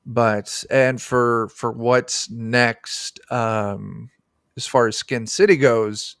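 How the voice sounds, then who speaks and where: A man speaking as a game designer in a casual interview over a video call, pausing to search for words. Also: medium pitch, fairly even intonation, vocal fry, fairly bright tone, clear, resonant tone, no room sound, studio quality.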